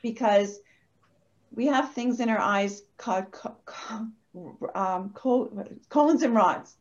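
A woman talking as a podcast guest, telling stories in a chatty, animated way. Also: fairly high pitch, takes it slowly. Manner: chatty, animated anecdote teller. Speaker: guest, energy healer. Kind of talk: casual podcast interview storytelling. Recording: dull lo-fi audio.

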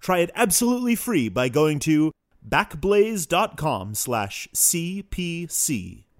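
A man's voice: fairly high pitch, sing-song, slow. A man reading a scripted sponsor message as an announcer.

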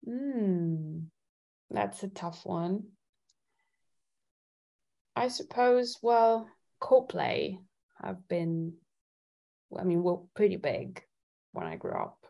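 A woman from Italy chatting casually as an interview guest, thinking out loud with hesitant phrasing. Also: lowish voice, very animated, very slow, creaky, long pauses. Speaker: singer-songwriter guest.

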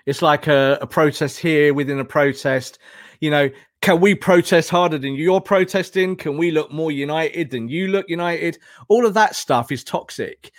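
A man's voice: on the high side, moderate pace, dry recording, clean studio sound. A man talking as a football pundit in an impassioned, opinionated discussion, emphatic and full of rhetorical questions and repetition.

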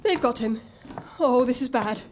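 High-pitched, anxious panicky agent. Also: female voice, expressive, measured pace, slight background noise. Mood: panicked. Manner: dramatic, alarmed. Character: panicky, anxious woman of a secret agency. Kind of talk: audio drama acting.

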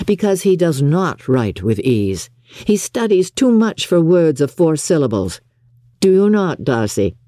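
A jovial young gentleman from an audiobook, acted by a woman. The pitch moves freely in a mellow voice, easygoing, impulsive and good-natured. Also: big pitch swings, a little slow, no echo, clean studio sound. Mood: teasing. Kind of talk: audiobook character acting.

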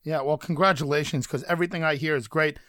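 A man's voice: on the high side, fast talker, no echo, clean studio sound. A man hosting a radio talk show and interviewing his guest with effusive praise. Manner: warm, effusive praise, steady host patter.

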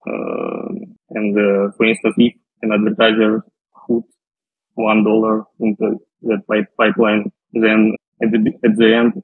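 Phone-like, gravelly Russian-accented man, halting. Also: on the low side. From Russia, western Siberia. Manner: hesitant, halting. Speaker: podcast guest, data scientist. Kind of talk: conversational interview answers. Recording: muffled phone-like line, roomy echo.

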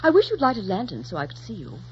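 An actress playing a poised, teasing young noblewoman in a 1940s radio romance, coolly playful and gently mocking. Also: medium pitch, wildly swooping pitch, fast talker. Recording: dull, noisy 1940s radio recording.

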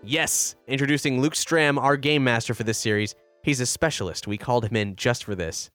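A man's voice: medium pitch, a bit bright. A man hosting a podcast, opening the show in an upbeat, casual announcer manner. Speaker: podcast host.

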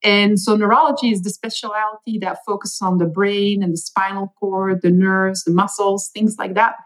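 A woman's voice: medium pitch, fairly even intonation, normal speed, rough recording. A middle-aged woman from Belgium with a Flemish accent, a native Dutch speaker, guest on an interview podcast, telling her story earnestly and thoughtfully with small fillers. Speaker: chief medical officer, guest.

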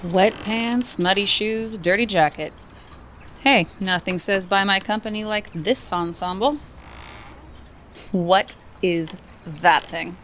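A woman's voice: mid-range voice, takes it slowly, a bit bright, slight background noise. An actress playing a wry, efficient and loyal female colleague in naturalistic audio drama dialogue. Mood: teasing.

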